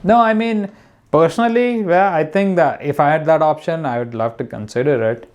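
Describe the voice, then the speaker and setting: A man's voice: fairly high pitch, moderate pace, a little mellow. A young man from India, a comedian guest, talking casually with many restarts and fillers. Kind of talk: casual conversation.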